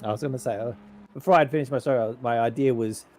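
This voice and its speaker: A man's voice: mid-range voice, fast talker, darkish tone. A man with an Australian accent giving chatty, rambling colour commentary on a sim race. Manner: chatty, rambling analysis with laughs. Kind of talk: sim racing color commentary.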